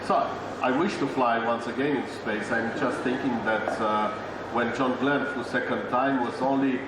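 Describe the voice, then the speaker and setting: A man on an astronaut panel speaking in a measured way with fillers, in a large conference hall. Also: medium pitch, fairly even intonation. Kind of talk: panel answer. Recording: very echoey hall with loud noise.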